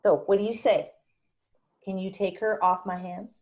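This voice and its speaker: A woman's voice: medium pitch, steady tone, normal speed, darkish tone, lightly acted. Woman reading as tough country bar-owner mother. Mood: firm, resigned. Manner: blunt, wry. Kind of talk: screenplay table read.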